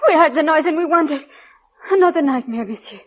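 Actress as high-voiced, anxious servant woman. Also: fast, natural voice. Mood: anxious concern. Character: deferential, concerned female household servant in France. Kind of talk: 1940s radio drama acting. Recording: muffled old radio recording.

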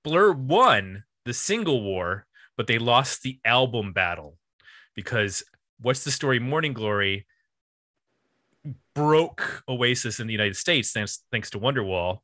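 A man hosts a music podcast with a bright, forward voice and a nasal Buffalo accent. The sound is very dry and close. He talks casually and likes to wander off into jokey asides. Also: medium pitch, lively intonation, a little slow, no room sound. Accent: Buffalo, New York. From US East Coast. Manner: joking, chatty, digressive. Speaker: podcast host.

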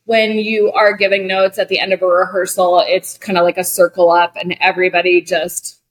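A woman's voice: on the low side, level tone, brisk, rough recording. A woman of forty, a guest on a podcast, talking candidly as an actor and director, searching for words with many fillers and restarts. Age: 40s.

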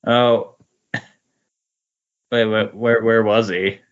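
A man chatting casually as a podcast co-host, tentative and halting as he reacts. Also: fairly low pitch, level tone. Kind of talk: casual chat. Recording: dull lo-fi voice recording.

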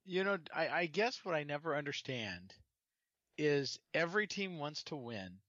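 A man's voice: fairly high pitch, animated, moderate pace, pushed and bright, dry recording, highs cut off. A man co-hosting a casual sports talk show, rambling and exasperated, often repeating words as he talks. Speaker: podcast co-host.

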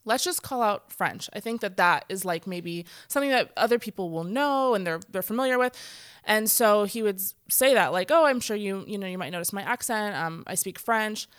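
Chatty woman with a smooth voice. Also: medium pitch, lively intonation, normal speed, a bit bright, no room sound, studio quality. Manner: chatty, many "like" fillers, acts out quoted speech. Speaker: guest speaker. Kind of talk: casual conversation. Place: church stage.

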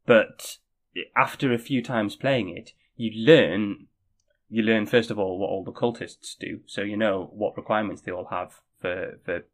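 A man co-hosting a casual podcast chat, friendly and a little halting, often restarting his sentences. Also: fairly low pitch, fairly even intonation. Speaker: podcast co-host.

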